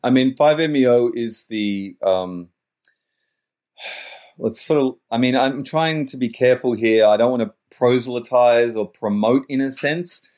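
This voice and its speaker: A man's voice: mid-range voice, measured pace, a little mellow. A middle-aged man talking in a wordy, searching way as a podcast guest, often correcting himself mid-sentence. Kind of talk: reflective interview talk.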